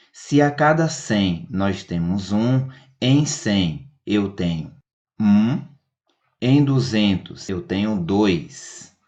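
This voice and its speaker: Low, slow-paced male teacher. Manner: methodical, didactic, repetitive step-by-step phrasing. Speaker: math teacher. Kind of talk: instructional video lesson explanation.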